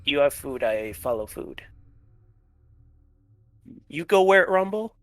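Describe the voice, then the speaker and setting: A man's voice: a little high, animated, takes it slowly, fairly bright tone. A man voicing a skittish, simple-minded kobold mine guard in broken, repetitive pidgin for a tabletop game. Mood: wary, simple. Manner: broken pidgin grammar, dropped words, repetitive. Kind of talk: tabletop role-play acting.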